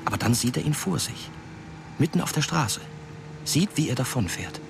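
An actor playing a grave, wistful male narrator in a radio drama, with a gravelly voice. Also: mid-range voice, lively intonation, moderate pace. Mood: grave, tense. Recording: loud car noise behind.

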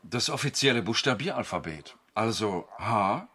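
A man's voice: on the low side, lively intonation, normal speed, sharp tone. A man playing an eager, inventive crew member in a radio drama, talking informally and coaxingly. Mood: inventive, playful. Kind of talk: German radio play acting.

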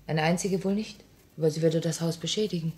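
An actress playing a steady female revolutionary in a 1950 radio play. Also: deep voice, fairly quick, natural voice. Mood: quiet, serious. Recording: echoey, faintly noisy old radio recording.

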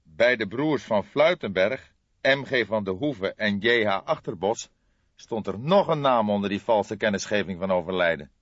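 A brooding older police detective played by a male reader in a crime audiobook. He is deep-voiced and weighs his words with formal care. The recording is very dry and a little dull. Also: animated, dry recording. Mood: agitated. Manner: deliberate, formal, ponderous. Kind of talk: audiobook character dialogue.

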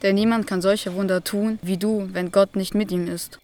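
A man acting as a respected religious elder who is puzzled and polite, in a biblical audio drama. His intonation stays quite flat as he speaks in a questioning, deferential manner. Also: high-pitched, moderate pace, close to an everyday voice. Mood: respectful, puzzled. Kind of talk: dramatized scripture audio play.

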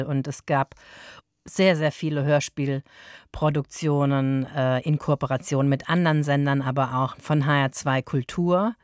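A woman hosting a radio talk, moderating conversationally and putting deliberate weight on key words. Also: deep voice, clear, resonant tone, dry recording, clean studio sound. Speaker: radio host. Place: dry radio studio.

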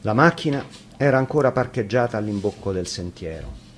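Low-voiced man reading a fairy tale. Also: animated, brisk, a little hiss or hum. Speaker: storyteller.

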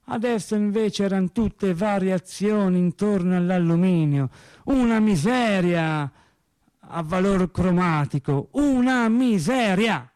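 High-voiced, rambling Tuscan factory worker. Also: male voice, slow, darkish tone. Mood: wry, rambling. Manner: digressive, self-correcting, repeating phrases for emphasis. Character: embittered, rambling factory worker recounting workplace life. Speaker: actor in a stage monologue for radio theatre. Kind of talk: theatrical monologue, colloquial storytelling.

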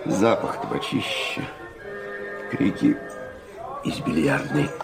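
Urbane middle-aged gentleman, musing irony, very lively intonation, radio play over loud music. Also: low-pitched, takes it slowly, creaky, heavily acted. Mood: ironic, musing. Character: worldly, ironic middle-aged gentleman father.

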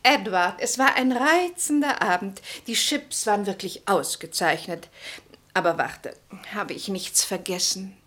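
A woman's voice: mid-range voice, fairly bright tone. An actress in a German radio play voicing a meddling, chatty and self-assured old society lady, inquisitive and bossy in a theatrical way. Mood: breezy, effusive. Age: older.

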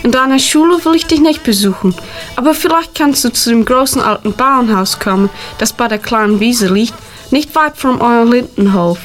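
A woman's voice: a little high, normal speed, faint music behind, natural voice. An actress playing an earnest, determined boarding school girl in a radio play. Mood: thoughtful, reading aloud. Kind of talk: German radio play acting.

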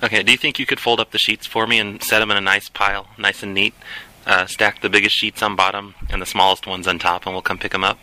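A man making a prank phone call as a hotel worker, deadpan and mock-helpful, sometimes turning indignant. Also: lowish voice, fast talker. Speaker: prank caller posing as hotel housekeeping. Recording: noisy phone line.

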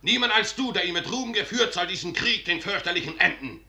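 A man's voice: sits high, animated, a little fast, sharp tone, reverberant, full character voice. An actor playing a fervently loyal soldier, declaiming emphatically to his leader in a classical verse radio drama.

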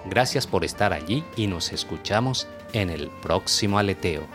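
A man with a fairly low voice hosts an interview podcast. He speaks informally, like someone in conversation, and guitar music plays clearly behind him. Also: lively intonation, normal speed.

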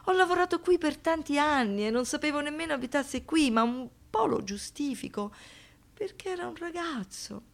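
A woman's voice: sits high, animated, moderate pace, vocal fry, pitched above the performer's own voice. A woman voicing an indignant, dismissive neighbourhood local in acted quotes for a radio play. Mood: indignant excusing.

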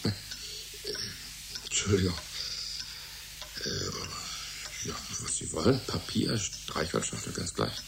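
An actor playing a courteous, troubled, weary gentleman scientist in a German radio drama, hesitant and absent-minded. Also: rumbling low, wildly swooping pitch, takes it slowly, vocal fry, pauses often, background noise, full character voice. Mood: distracted, uncertain.

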